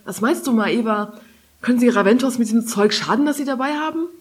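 A woman's voice: on the high side, animated, a little fast, pitched above the performer's own voice. An impulsive, curious girl of a fantasy tale voiced by a woman reader talks earnestly. Her lines are questioning and lifted a little above the narration. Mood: anxious questioning. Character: curious, impulsive girl heroine who befriends dragons.

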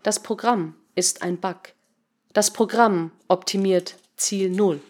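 A woman narrator voicing a naive, emotionless artificial intelligence in an audiobook, with a measured, clipped, stilted delivery. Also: mid-range voice, darkish tone. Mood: flat, declarative.

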